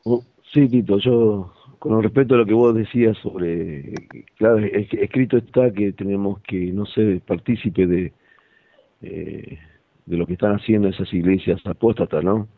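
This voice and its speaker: Low, gravelly man on phone-like audio. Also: no room sound. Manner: hesitant, halting. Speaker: participant in a religious discussion. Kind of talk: reflective religious talk. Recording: thin phone-like sound.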